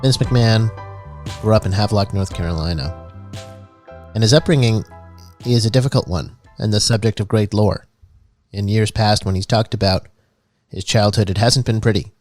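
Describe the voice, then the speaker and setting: A man hosting a comedy podcast in a mock public-radio style, earnest and halting with many restarts. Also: on the low side, darkish tone, soft background music. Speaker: host.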